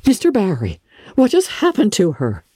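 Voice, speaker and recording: A woman's voice: medium pitch, wildly swooping pitch, moderate pace, breathy edge, dry recording, pitched above the performer's own voice. A woman voicing a stern, sensible older woman who speaks sharply. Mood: alarmed. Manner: sharp, clipped.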